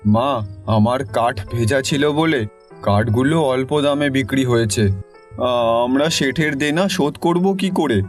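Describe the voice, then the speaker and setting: A man's voice: medium pitch, a little slow, faint music behind, lightly acted. Man as a humble, earnest poor village son. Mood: worried. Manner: earnest, pleading. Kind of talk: cartoon dialogue.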